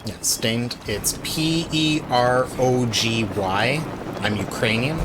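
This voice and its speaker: A man's voice: medium pitch, slow pace, heavy background noise. A Canadian man chatting casually and bluntly as a game streamer, with some swearing. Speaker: speedrun streamer. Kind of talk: casual chat while gaming. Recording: game soundtrack under the voice. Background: loud game music and effects.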